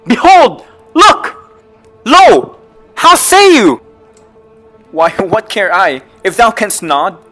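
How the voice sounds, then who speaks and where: A man's voice: sits high, huge pitch swings, takes it slowly, long pauses, sharp tone, faint music behind, full character voice. An actor playing a guilt-haunted, raving king in a dramatic reading of Shakespeare. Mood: terrified, raving.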